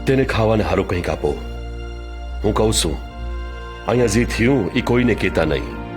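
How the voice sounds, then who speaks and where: Male actor as calm authoritative holy teacher, Kathiyawadi Gujarati accent, measured and earnest, lively intonation, music underneath. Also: fairly low pitch, close to an everyday voice. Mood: gentle command. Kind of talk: audio drama acting, preaching.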